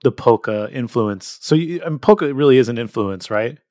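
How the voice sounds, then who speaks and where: A man's voice: mid-range voice, animated, normal speed, a little mellow, a little hiss or hum. A man hosting a podcast and asking curious follow-up questions with fillers. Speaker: podcast host. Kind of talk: casual interview questions.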